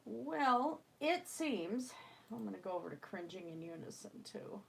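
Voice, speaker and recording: A young woman speaks slowly into a desk mic at home, her voice stuffy from a slight cold. She rambles in a loose, chatty way as the host of her own livestream. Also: medium pitch, big pitch swings, gravelly, a little hiss or hum, rough recording. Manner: rambling, chatty, laughs often. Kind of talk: casual livestream chat.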